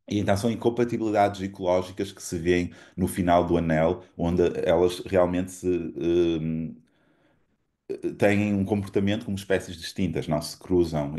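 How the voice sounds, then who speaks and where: Low-voiced Portuguese man giving a lecture. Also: warm tone. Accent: European Portuguese. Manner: measured, explanatory, with frequent fillers and restarts. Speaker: guest lecturer. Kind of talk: academic seminar lecture.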